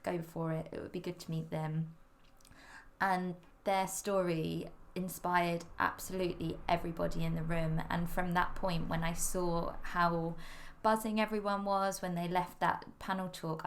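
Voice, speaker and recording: Woman, podcast host, chatty, upbeat, gravelly low voice. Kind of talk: podcast introduction and interview.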